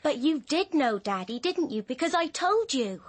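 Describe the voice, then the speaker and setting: A high, youthful voice as a dutiful daughter in a radio drama. She is polite and earnest, hesitant and uneasy as she questions the grown-ups. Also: sing-song, normal speed. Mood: anxious.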